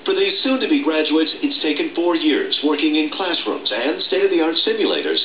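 A man's voice: a little high, expressive, normal speed. A middle-aged American man reporting as a television news correspondent. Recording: dull roomy TV sound filmed off screen, noisy.

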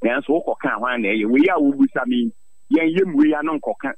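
Gravelly, animated Ghanaian man, emphatic. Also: very high voice, a little fast, dry recording, phone-quality sound. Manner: emphatic, rhetorical questioning. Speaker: farmers' association president, interviewee. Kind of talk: impassioned radio interview, airing grievances.

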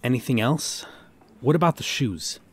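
A man playing an earnest, guarded police detective in an ASMR audio drama, speaking haltingly with long pauses. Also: medium pitch, lively intonation, a little hiss or hum, natural voice. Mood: grave, methodical. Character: earnest, guarded male police detective questioning someone he cares for. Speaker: voice actor in an audio roleplay. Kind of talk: ASMR roleplay drama, acted one-sided dialogue.

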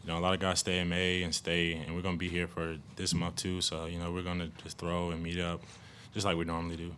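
A young man, a college football player, answering questions at a postgame press conference in a relaxed, joking way, with lots of "you know". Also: deep voice, fast, a little hiss or hum. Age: young adult. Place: press room in front of a logo backdrop. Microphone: table mic on a stand.

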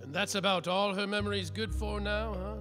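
An actor playing a world-weary, boozy, sarcastic private detective in a slapstick noir radio mystery, with a wry, dramatic delivery. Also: male voice, sits high, brisk, over music, lightly acted. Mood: probing, sardonic. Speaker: detective and narrator.

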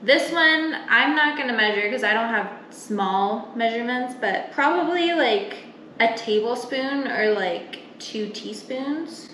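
A young woman talks through a cooking demo at a slightly unhurried pace. Her delivery is informal and explanatory, recorded in a roomy, echoey kitchen with some noise behind her. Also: on the high side, pushed and bright. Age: young adult. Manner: informal, explanatory, talking while working with her hands. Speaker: home cooking vlogger. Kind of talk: casual step-by-step cooking demonstration. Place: home kitchen, indoors. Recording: roomy kitchen echo, clear background noise.

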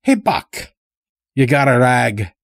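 A man's voice: medium pitch, big pitch swings, takes it slowly, vocal fry, no room sound, studio quality, pitched above the performer's own voice, heavily acted. A man voicing a sly, weary old stranger in an audio story, with a folksy, rambling way of talking. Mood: wry, casual. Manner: folksy, rambling, dropped words.

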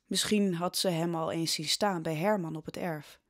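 A woman's voice: fairly low pitch, fairly even intonation, normal speed. A woman narrating a historical audio drama as scripted, dramatic storytelling.

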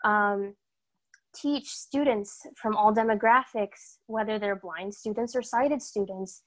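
A woman's voice: mid-range voice, slow pace. A young woman from Massachusetts, introducing herself in an upbeat, chatty way over a laptop video call. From Massachusetts, USA. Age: young adult. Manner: upbeat, energetic, chatty with fillers. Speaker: guest performer. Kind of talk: casual self-introduction.

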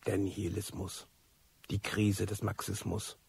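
An actor playing a disillusioned middle-aged former sixties revolutionary, recounting his life in a reflective, weary, deliberate radio drama monologue. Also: sits low, level tone, darkish tone, exaggerated voice. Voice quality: husky, gravelly.

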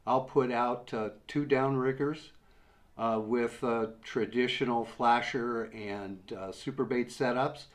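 An older man in his sixties, slowly and patiently explaining a fishing rig step by step, seated at a table in a small room. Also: medium pitch, steady tone. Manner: slow, patient step-by-step explaining with many uhs. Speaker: fishing instructor. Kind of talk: how-to demonstration. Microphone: camera in front at desk distance.

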